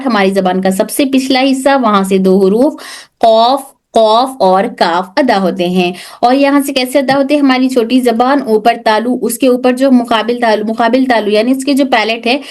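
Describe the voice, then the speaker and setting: A woman's voice: a little high, normal speed, a little mellow. A woman teaching an online class who asks questions and then answers them herself, mixing English terms into her explanations. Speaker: tajweed teacher.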